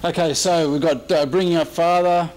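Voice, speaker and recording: A man's voice: on the high side, fairly even intonation, moderate pace, a little mellow, poor audio. An Australian man presenting as a historian in an informal slide-show talk, casual and dryly humorous. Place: convention presentation room, speaking at a microphone.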